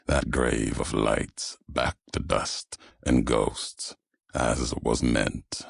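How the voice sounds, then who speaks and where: An actor playing a solemn, embittered wasteland wanderer in a video game, speaking gravely in clipped, cryptic phrases with a gravelly voice. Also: male voice, steady tone, drawn-out words, exaggerated voice. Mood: grim, condemning. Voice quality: very deep, gravelly. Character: brooding, embittered wasteland courier, solemn and vengeful man. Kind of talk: dramatic video game monologue. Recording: heavily echoing, roomy sound.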